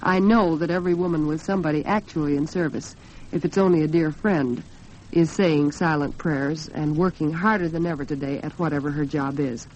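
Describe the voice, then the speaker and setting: A dull, band-limited 1940s radio recording carries an American woman's low voice. She talks as a program host, chatty and earnest, with a homely touch. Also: darkish tone. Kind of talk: 1940s radio talk with scripted sponsor chat.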